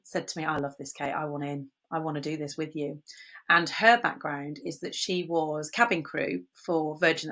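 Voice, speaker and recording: A middle-aged woman from Bristol with a slight West Country accent, telling her story as a guest on a business podcast and filling her talk with "um" and "uh". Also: low-pitched. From Bristol, England. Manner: lively storytelling with many fillers. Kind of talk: podcast interview.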